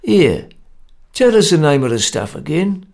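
A man voicing a complacent working-class male conspirator, blunt and loud. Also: fairly high pitch, very animated, measured pace, creaky, heavily acted. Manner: blunt, loud, self-satisfied.

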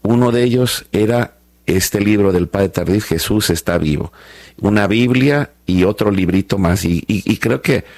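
A man's voice: on the low side, a little slow, a little mellow, dry recording. A man in his fifties with a Mexican accent, talking reflectively and hesitantly as the host of a conversational interview. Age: 50s. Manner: hesitant, reflective, searching for words. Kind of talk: conversational testimony in a panel interview.

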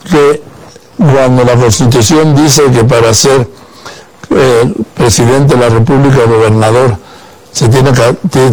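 A man's voice: mid-range voice, a little mellow, low-quality recording. An older man born in Madrid, hosting a radio interview and pressing his guest with direct, conversational questions. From Spain, born in Madrid. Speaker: radio host.

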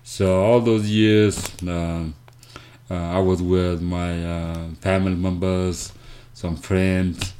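A man with a South Sudanese accent telling his story as an interview guest, haltingly and with repeated words. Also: sits low, little pitch movement, dark tone. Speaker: interview guest, foundation founder. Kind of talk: conversational storytelling.

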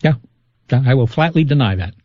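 A man's voice: medium pitch, lively intonation, moderate pace, no room sound, lo-fi sound. A man being interviewed as a scientist on a call-in radio show, chatty, laughing often and restarting his sentences. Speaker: guest scientist.

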